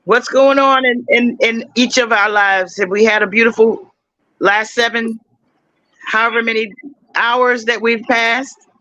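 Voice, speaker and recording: Bright-voiced man hosting, halting. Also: very high-pitched, steady tone, dry recording, lo-fi sound. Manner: gentle, halting, moves the topic on. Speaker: host. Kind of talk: casual group discussion.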